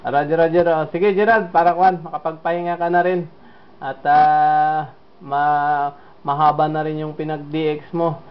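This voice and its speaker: A man from the Philippines holds a relaxed ham radio contact in a slightly high, very flat voice. His talk is unhurried and full of small hesitations, and the audio sounds dull and lo-fi. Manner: steady and unhurried, with frequent fillers. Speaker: amateur radio operator.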